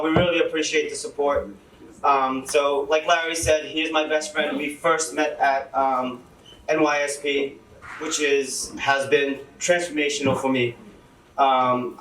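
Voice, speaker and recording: A man's voice: fairly high pitch, fairly bright tone, a little hiss or hum. A young man, a teacher, giving a chatty, laughing talk to an audience at a launch event. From Lowell, Massachusetts. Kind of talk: casual speech to an audience. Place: event hall. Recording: echoey hall from far off.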